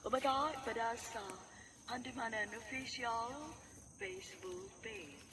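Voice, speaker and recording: Woman, radio announcer, Cebuano accent, scripted delivery, higher pitch, noisy broadcast recording. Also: lively intonation, slow, creaky. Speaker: radio drama program announcer.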